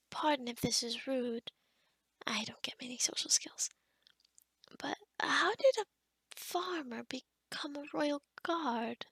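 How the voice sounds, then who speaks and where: Breathy, high-voiced young witch played by a woman. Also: no echo, full character voice. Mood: curious, gentle. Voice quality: breathy, whispery. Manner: gentle, hesitant, with small stammers and pauses. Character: kind, sheltered young forest witch, gentle and socially awkward. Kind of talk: soft-spoken ASMR roleplay, half whispered.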